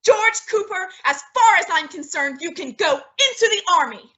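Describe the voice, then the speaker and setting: A woman playing an excitable, indignant young housewife in a radio sitcom, with theatrical comic delivery. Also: very high voice, moderate pace, full character voice. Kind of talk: 1950s radio sitcom acting.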